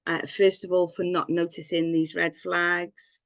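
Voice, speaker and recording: A woman's voice: on the low side, flat delivery, measured pace, gravelly, fairly bright tone, no echo. A woman from Wales, a guest telling her own story in a rambling, candid way with frequent laughs. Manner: rambling, personal, laughs often. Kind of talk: candid personal story in interview.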